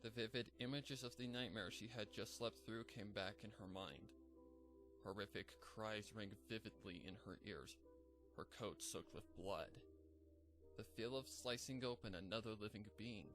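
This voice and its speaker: A man narrating a fanfic as a measured, somber scripted reading. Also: on the low side, fairly bright tone, faint music behind.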